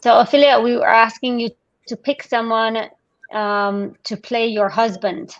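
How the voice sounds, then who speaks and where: A woman hosting a group session over a video call, gently coaxing participants with questions. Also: on the high side, little pitch movement, measured pace, no room sound, dull audio. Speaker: host guiding a group session.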